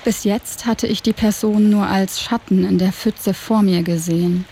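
A woman narrating an audio drama in the first person, with a soft, lyrical and introspective delivery. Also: mid-range voice, steady tone, normal speed, slight background noise, low-quality recording. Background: music.

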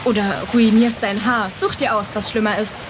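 An actress as an ageless, teasing hotel hostess. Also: female voice, mid-range voice, fairly even intonation, moderate pace, background noise, lightly acted. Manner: teasing, drily witty, welcoming. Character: mysterious ageless woman running an eerie hotel, teasing. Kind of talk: audio drama acting. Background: music.

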